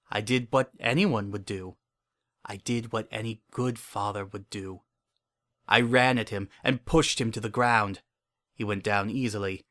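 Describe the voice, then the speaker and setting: A man playing a paranoid, obsessive father in a dramatic horror fan fiction reading, with an intense, brooding and repetitive way of talking. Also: on the low side. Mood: disgusted, agitated. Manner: intense, repetitive, brooding, swinging between hushed and frantic. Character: paranoid, obsessive, unhinged father protecting his pony daughter.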